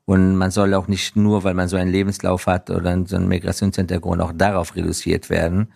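A man from Afghanistan speaking as an interview guest, reflecting earnestly in conversational answers with halting pauses and self-corrections. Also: deep voice, level tone, vocal fry, darkish tone. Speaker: interview guest, business professional.

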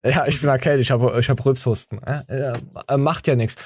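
A man's voice: mid-range voice, steady tone, fairly quick. A man hosting a podcast, joking and teasing in casual chat, with many fillers and restarts. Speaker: podcast host.

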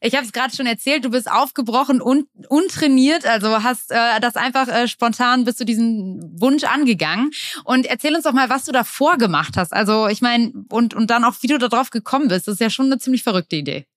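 A woman's voice: on the high side, lively intonation, a little fast, fairly bright tone, no room sound, studio quality. A woman hosting a podcast, reading a scripted introduction and then chatting casually with her guest in an upbeat, friendly way. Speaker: podcast host.

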